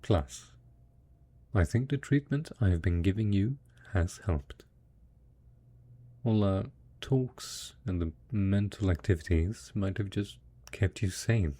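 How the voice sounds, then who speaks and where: A man playing a devoted, tender husband in a post-apocalyptic audio drama, speaking in a gentle, coaxing, husky voice with plenty of endearments. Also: deep voice, takes it slowly, heavily acted. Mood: hopeful, reassuring. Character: devoted, tender, hopeful husband caring for ghoul spouse after apocalypse. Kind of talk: roleplay audio drama, intimate monologue addressed to listener.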